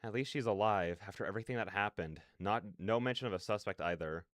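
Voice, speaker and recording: A man reading aloud as a wry first-person narrator of a story game, in measured, dramatic storytelling. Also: fairly low pitch, dry recording, studio quality, natural voice. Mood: wry, intent. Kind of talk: scripted narration read aloud.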